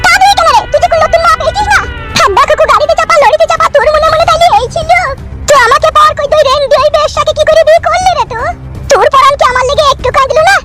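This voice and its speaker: A shrill cartoon wife, squeaky and extremely high. She scolds and curses jealously at a quick clip. Loud music runs behind her. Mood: furious, scolding. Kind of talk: comedy cartoon voice acting.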